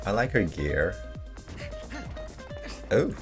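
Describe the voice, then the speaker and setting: A man's voice: on the low side, sing-song, extremely slow, over music. A young adult man giving casual reaction commentary as a gaming video host, speaking close to a desk microphone in a room.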